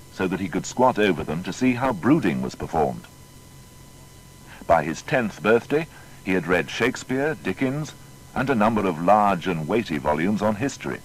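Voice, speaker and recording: A man reading a scripted biography as narrator, in a measured and formal storytelling manner. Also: sits low, a little hiss or hum, rough recording.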